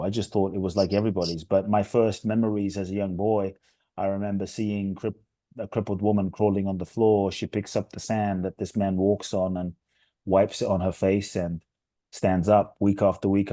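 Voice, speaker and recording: Man from London, very low and warm voice, earnest reflective storyteller, dry close-miked sound. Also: no room sound. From London, England. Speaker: guest, inspirational speaker. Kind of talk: podcast interview.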